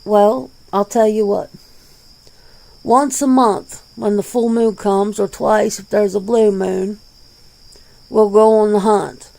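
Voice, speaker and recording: An easygoing, peace-minded werewolf, played by a woman, answers interview questions in an ASMR roleplay. The voice is mellow and crackles with fry, and the words come out very slowly in folksy, casual phrasing. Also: medium pitch, steady tone. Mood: easygoing, earnest. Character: laid-back, plain-spoken werewolf being interviewed, peace-minded.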